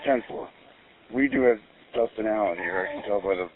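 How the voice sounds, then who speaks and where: A man's voice: on the low side, fairly quick. A male police officer making terse, matter-of-fact procedural calls over a police radio. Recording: tinny narrow police radio.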